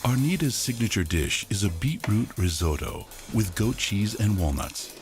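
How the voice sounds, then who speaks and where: A man's voice: on the low side, expressive, moderate pace, darkish tone. A man giving dramatic scripted narration for a cooking show. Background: background music.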